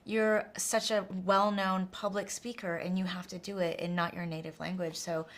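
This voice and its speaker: A woman's voice: lowish voice, flat delivery, normal speed. A woman director from the United States answering interview questions thoughtfully, often restarting her sentences. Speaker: documentary director.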